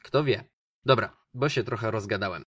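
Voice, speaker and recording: A man's voice: lowish voice, moderate pace, fairly bright tone, no room sound, muffled recording. A man hosting a radio film show in a casual, rambling monologue, speaking directly to his listeners.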